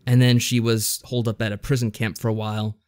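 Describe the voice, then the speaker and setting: A man's voice: lowish voice, little pitch movement, quick pace, a little mellow. A man co-hosting a podcast, giving a casual review in a rambling, slightly hesitant explanatory way. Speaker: podcast co-host.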